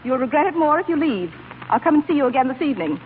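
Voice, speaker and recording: Stern, formal nurse, rapid delivery, narrow tinny vintage radio sound. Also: female voice, high voice, very animated. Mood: earnest, firm. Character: brisk, firm, no-nonsense female hospital nurse. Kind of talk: 1930s radio drama acting. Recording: scratchy, tinny 1930s radio broadcast recording.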